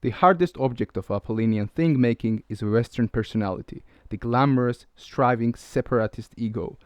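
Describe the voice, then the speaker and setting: A man's voice: mid-range voice, dry recording. Man reading a lecture. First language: Georgian. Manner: measured, lecturing. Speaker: video essay narrator. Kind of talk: scripted narration.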